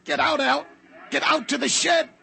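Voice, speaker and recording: A man playing a gruff, protective frontier father in a 1950s radio drama, with a gravelly voice. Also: very high voice, fairly even intonation, normal speed, full character voice. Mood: desperate, shouting. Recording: noisy 1950s radio recording.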